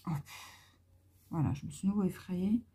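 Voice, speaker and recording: A hoarse woman vlogger chatting casually and meanderingly to her viewers into a phone at home. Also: on the low side, lively intonation, moderate pace, dark tone. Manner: rambling, meandering talk.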